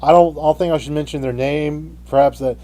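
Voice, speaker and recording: A man hosting an unscripted radio debate, speaking haltingly and often restarting his phrases. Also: a little high, steady tone, warm tone. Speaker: radio show host.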